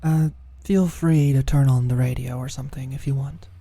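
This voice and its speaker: An actor playing a teasing, caring British office manager in an intimate ASMR roleplay, speaking softly and breathily with a dry manner. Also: medium pitch, fairly even intonation, normal speed, dry recording, lightly acted. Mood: casual, dryly teasing. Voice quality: soft, breathy, often near-whispered. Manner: dry, gently teasing, solicitous.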